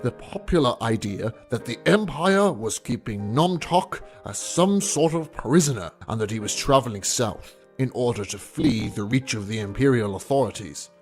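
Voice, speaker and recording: A man's voice: medium pitch, expressive, normal speed, hardly any pauses, close to an everyday voice. A man playing a bookish academic researcher in an audio story, narrating his findings on a legend in formal, scholarly lecture style. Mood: measured, scholarly. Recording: music under the voice.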